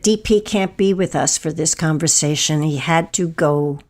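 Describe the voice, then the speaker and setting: A woman hosting an arts show, putting polished questions to guests in an articulate, deliberate way. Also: low-pitched, darkish tone. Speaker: host, interviewer.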